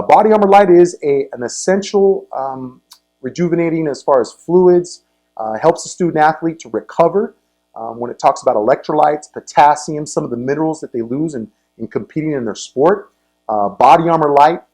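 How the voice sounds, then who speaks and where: Halting American man hosting a sports interview. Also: mid-range voice, creaky, a little mellow, poor audio. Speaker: sports interview show host.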